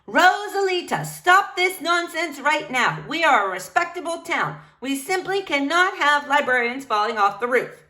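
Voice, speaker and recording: A woman's voice: high-pitched, big pitch swings, normal speed, bright, forceful tone, pitched above the performer's own voice, exaggerated voice. A woman voicing a stuffy, indignant male town official from a children's storybook, scolding and exclaiming. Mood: stern, exasperated.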